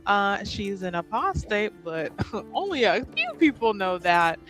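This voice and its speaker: A woman's voice: mid-range voice, big pitch swings, a little slow, fairly bright tone, faint music behind. A woman playing in a tabletop game stream, introducing her character in sweary, casual chat. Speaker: player in an actual-play game.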